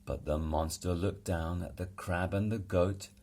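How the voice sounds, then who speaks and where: Male storybook narrator: low, gravelly voice, unhurried and dramatic delivery. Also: steady tone, a little mellow. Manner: dramatic, deliberate. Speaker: storybook reader. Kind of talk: expressive read-aloud children's narration.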